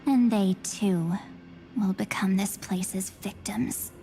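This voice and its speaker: Slow, enigmatic young female spirit. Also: mid-range voice, gravelly, warm tone, soft background music. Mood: grave, eerie. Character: enigmatic young female memory spirit, softly menacing, coaxing. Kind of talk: scripted video game dialogue.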